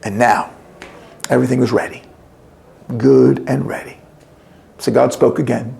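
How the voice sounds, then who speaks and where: A man's voice: mid-range voice, little pitch movement, measured pace, creaky, mellow. A man reading a story aloud to children in a theatrical, playful, emphatic way, inviting them to join in.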